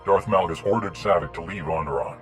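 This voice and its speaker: A self-assured military commander, low in pitch, speaking with terse authority. It is game cutscene acting with music clearly behind it. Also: male voice, a little fast. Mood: businesslike.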